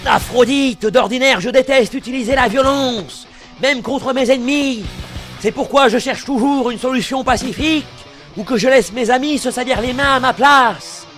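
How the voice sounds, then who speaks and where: A man voicing a gentle, effeminate young hero in an anime parody dub, earnest and prim with mock-solemn bravado. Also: very high voice, normal speed, faint music behind. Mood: righteous threatening.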